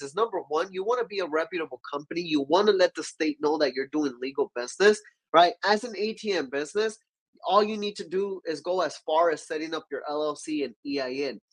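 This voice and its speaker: A man's voice: high voice, rough recording. Stuffy young man hosting a live stream. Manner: energetic, gestures with both hands, keeps asking viewers to comment. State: stuffy from a cold. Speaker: livestream host. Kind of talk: business livestream teaching. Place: small dark-walled home studio.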